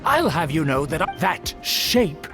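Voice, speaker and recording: A snooty, scheming aristocrat from a video game, speaks in a slightly high voice. He is pompous and formal and looks down on everyone, with music playing clearly behind him. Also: very animated, unhurried. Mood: indignant. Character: haughty, scheming nobleman of a fallen aristocratic clan. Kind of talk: scripted video game voice acting.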